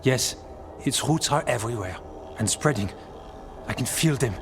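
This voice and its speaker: An actor playing a gentle, earnest, nature-loving warrior man in a video game, speaking in short, soft-hearted phrases. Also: mid-range voice, animated, moderate pace, noisy background, lightly acted. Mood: earnest, troubled. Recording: clear music behind the voice.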